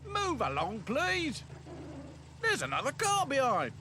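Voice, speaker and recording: Guy doing officious ticket man, high sing-song voice, spells out the rules, storybook. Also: huge pitch swings, takes it slowly, pushed and bright, a little hiss or hum, exaggerated voice. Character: officious male safari park gate attendant.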